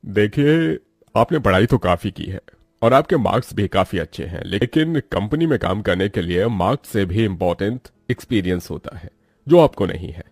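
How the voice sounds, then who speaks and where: A man's voice: on the low side, animated, moderate pace, gravelly, breathy edge, no echo, studio quality, heavily acted. A man playing a matter-of-fact corrupt company interviewer in a cartoon story, formal and businesslike. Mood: cool, formal. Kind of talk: Hindi cartoon moral story.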